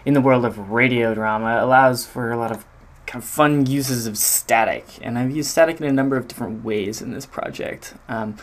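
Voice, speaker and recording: A man giving an informal walkthrough of a demo, speaking hesitantly as if thinking aloud. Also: medium pitch. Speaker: game audio designer presenting own project.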